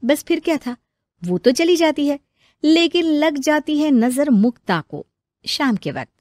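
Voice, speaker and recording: A woman narrates a scripted moral story. Her voice is high-pitched, and the recording is dry and close. She tells it as a storyteller would. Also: lively intonation, a little slow, darkish tone, no room sound.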